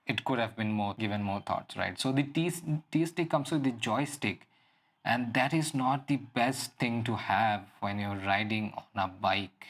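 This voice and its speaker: A man giving an unscripted product walkthrough as a reviewer, explaining things in halting phrases. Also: mid-range voice. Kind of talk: unscripted app and gadget walkthrough.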